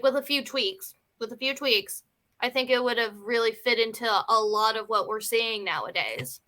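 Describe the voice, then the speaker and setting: Female panelist, bright and animated, relaxed conversational film chat. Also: on the high side. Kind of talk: casual film discussion.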